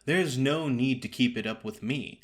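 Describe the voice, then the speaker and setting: A man's voice: mid-range voice, moderate pace, lightly acted. A man voicing a genial stage comedian in an audio drama story, chummy, blunt and needling in manner. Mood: teasing.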